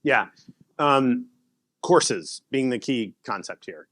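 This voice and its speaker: A man giving a casual conference talk and answering audience questions, animated and laughing often. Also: mid-range voice, slow pace, no echo, clean studio sound. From United States. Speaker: presenter.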